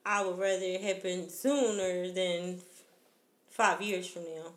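A woman's voice: fairly low pitch, fairly even intonation, measured pace. A woman, around her thirties, chatting casually as a podcast co-host with slangy, playful comebacks. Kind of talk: casual chat. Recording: roomy, echoing sound.

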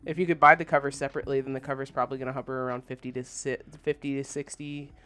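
Clear-voiced man sharing gaming news casually. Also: mid-range voice, level tone, racing, pushed and bright. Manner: informal, conversational. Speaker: co-host of a gaming news podcast.

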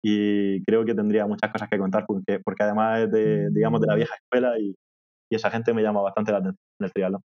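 A man with a strong Murcian accent, a podcast guest telling quick anecdotes in casual chat. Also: lowish voice, level tone. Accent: Murcian Spanish. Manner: quick anecdotal storytelling with laughs. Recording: dull lo-fi remote audio.

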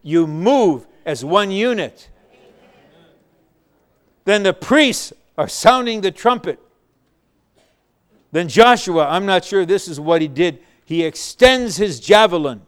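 A man preaching a sermon, measured and emphatic, with bursts of shouting. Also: high-pitched, lively intonation.

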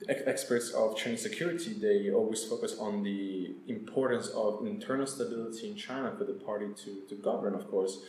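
Young man from Italy, guest expert; low voice, measured delivery with many fillers, roomy echo and faint hiss. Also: a little mellow. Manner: measured, with frequent filler pauses. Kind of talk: academic interview answers. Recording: echoey room, faint hiss.

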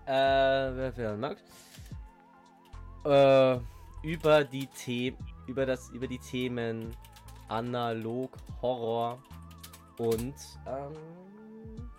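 A man hosts a casual podcast in a medium-pitched voice. He is playful, slipping between mock intro reading and jokey, sweary asides. Eerie ambient music plays behind him. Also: very slow, long pauses, darkish tone. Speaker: podcast host.

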